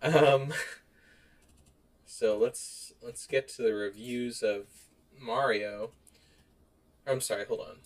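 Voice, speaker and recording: A man's voice: medium pitch, steady tone, slow pace, pauses often. A man co-hosting a podcast, chatting casually about movies in a blunt, opinionated way. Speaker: podcast co-host.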